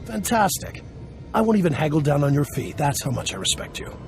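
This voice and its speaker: Gravelly, smooth-talking smuggler boss. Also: male voice, on the high side, big pitch swings, brisk, darkish tone. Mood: upbeat persuading. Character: jovial, scheming male smuggler boss handing out jobs. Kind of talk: video game voice acting. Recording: faint music behind the voice.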